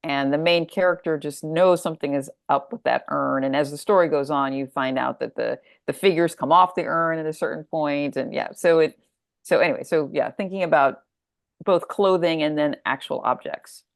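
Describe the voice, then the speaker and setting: A woman's voice: low-pitched, normal speed, creaky, dry recording, clean studio sound. A middle-aged American woman talking casually as an interview guest, thoughtful and discursive as she works out her answers. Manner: thoughtful, discursive, thinking aloud. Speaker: guest author discussing her story collection.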